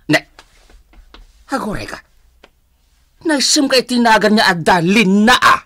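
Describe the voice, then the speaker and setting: Picture a patient older father in a radio drama, acted by a man, speaking with a Filipino accent. His voice is bright and cutting, and it rises and falls widely as he counsels his grown son. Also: high voice, expressive, a little slow, heavily acted. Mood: wary, doubtful. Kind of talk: Ilocano radio drama acting.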